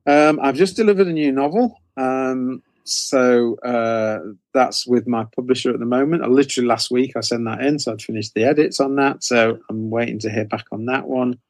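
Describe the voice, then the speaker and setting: Male interview guest, middle-aged. Informal, self-correcting delivery with a creaky edge, recorded dry. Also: medium pitch, normal speed, no echo. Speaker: guest author interviewed about writing career. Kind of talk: casual interview chat.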